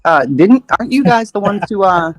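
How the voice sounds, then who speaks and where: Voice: medium pitch, expressive, normal speed, no echo. Questioner in unscripted confrontation, direct and challenging, dry sound over faint music.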